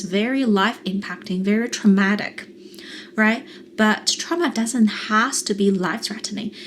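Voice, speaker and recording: A young adult woman with a Mandarin Chinese accent, a therapist talking calmly and earnestly to camera, explaining things in a coaching style. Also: medium pitch, a little hiss or hum. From China. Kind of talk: calm coaching monologue to camera.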